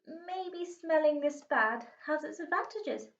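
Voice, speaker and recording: A woman's voice: sits high, expressive, slow, a bit bright, pitched above the performer's own voice, heavily acted. A woman voicing a shy, polite twelve-year-old girl with a hesitant manner in a storybook reading. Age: child. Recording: roomy, distant, muffled home recording.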